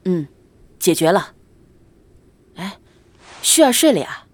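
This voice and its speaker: A woman playing a calm, tender young husband and dutiful son in a Chinese costume audio drama, speaking politely and with composure. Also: fairly low pitch, takes it slowly, exaggerated voice.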